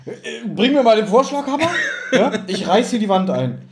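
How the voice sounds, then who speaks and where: Animated higher-voiced man in an echoey room. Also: moderate pace. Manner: animated, acts out mock quotes in put-on voices. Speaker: podcast co-host. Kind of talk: casual chat reviewing an audio drama.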